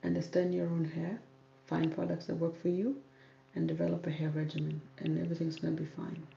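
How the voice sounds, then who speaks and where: A woman's voice: low voice, flat delivery, brisk, vocal fry, mellow. A young woman who hosts a hair channel answers viewer comments on a livestream, firm and repeating herself for emphasis. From South Sudan, raised in Kenya. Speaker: YouTube hair channel host. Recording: roomy, dull audio with faint noise.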